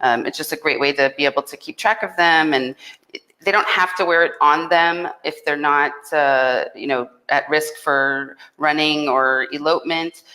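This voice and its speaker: A woman's voice: low voice, moderate pace, bright, forceful tone, rough recording. A woman co-hosting a casual live chat as a company rep, explaining things in an upbeat way.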